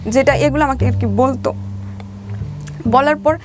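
A woman's voice: sits high, unhurried, a little mellow, faint music behind. A woman with a Bangladeshi Bengali accent telling her life story as an interview guest, colloquially, voicing the dialogue she recalls. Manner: colloquial, acts out reported dialogue.

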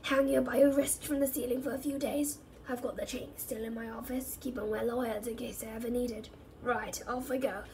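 A woman plays a mean-spirited male caretaker from a storybook who relishes punishment. He speaks with a leering, cackling nastiness and cold menace, in a hushed voice. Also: fairly high pitch, fairly even intonation, fairly quick, distant mic, a little hiss or hum, lightly acted. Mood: gloating menace. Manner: cackling, coldly menacing, nasty. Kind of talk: storybook character reading.

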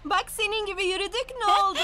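Young cartoon girl, extremely high, bright tone, chatty, light music behind. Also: steady tone, fast talker. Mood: teasing. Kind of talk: animated children's cartoon dub.

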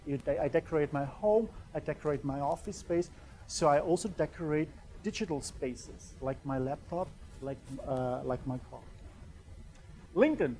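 A man's voice: on the high side, normal speed, warm tone. A man in his thirties from Austria with a German accent, a native German speaker, giving a conversational lecture in a small room and often checking in with "yeah?". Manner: conversational, keeps asking "yeah?"; pacing and gesturing while talking. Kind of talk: conference lecture.

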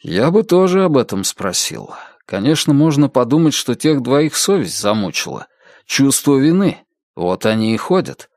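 A man's voice: medium pitch, big pitch swings, normal speed, darkish tone, no echo, studio quality. A man voicing a cynical, pessimistic veteran in an audiobook inner monologue, sceptical and reflective. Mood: cynical, musing.

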